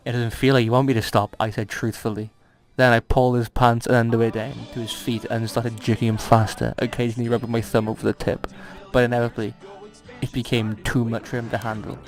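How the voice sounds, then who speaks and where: Man, mid-pitched voice, casual banter, mock-dramatic reading, teasing, sweary, music behind. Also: little pitch movement, a little fast. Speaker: co-host reading fan fiction aloud.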